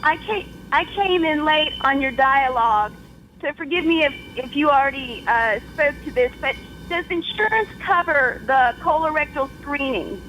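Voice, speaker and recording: A woman phoning a radio show with questions, speaking in a halting, tentative way. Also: sits high, lively intonation, sharp tone, soft background music. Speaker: caller. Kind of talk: asking questions on a call-in show. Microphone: telephone. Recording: noisy phone call to radio.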